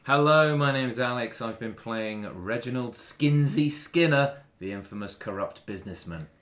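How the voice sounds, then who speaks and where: A man's voice: medium pitch, normal speed, a bit bright. A man chatting casually as a player on a role-playing podcast. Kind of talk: casual group chat.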